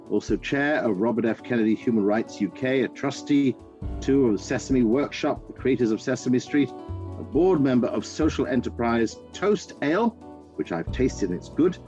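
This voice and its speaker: Older man, podcast host, lively pitch, formal and measured, dull lo-fi sound with faint music underneath. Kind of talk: podcast interview introduction.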